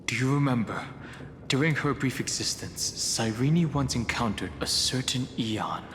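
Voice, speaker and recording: A man playing a calm, analytical, guarded young man in an anime video game, speaking in a measured, serious, protective way. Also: medium pitch, slight background noise. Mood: pensive, analytical. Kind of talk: English-dubbed anime video game acting. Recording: long hall reverb under clear music.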